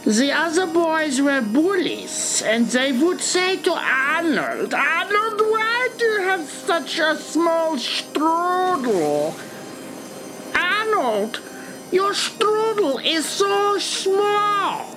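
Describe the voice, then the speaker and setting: A woman voicing an earnest Austrian man with an Austrian accent, deliberately telling a comic childhood story over music. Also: sits high, big pitch swings, exaggerated voice, rough recording. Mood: earnest reminiscing. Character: Austrian man named Herman earnestly recalling his schooldays.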